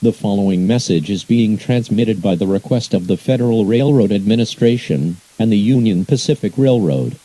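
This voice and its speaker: A man's voice: lowish voice, expressive, moderate pace, hardly any pauses, warm tone, no echo, low-quality recording. A man reading scripted emergency alerts as an announcer, in a formal, impersonal, synthetic-sounding delivery.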